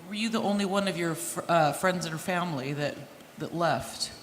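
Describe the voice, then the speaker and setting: An American woman interviewing a guest on a conference stage, chatty and loud-voiced, often breaking off and restarting her questions. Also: fairly low pitch, normal speed. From United States. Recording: reverberant hall, faint noise.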